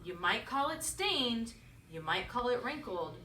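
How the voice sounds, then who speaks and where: Bright-voiced woman teacher reading aloud. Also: on the high side, big pitch swings, unhurried. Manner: encouraging, questioning, echoes children's answers. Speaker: teacher reading a picture book aloud to children. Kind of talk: storybook read-aloud with conversational questions to children. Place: echoey indoor room with children. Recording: echoey room, distant mic, faint noise.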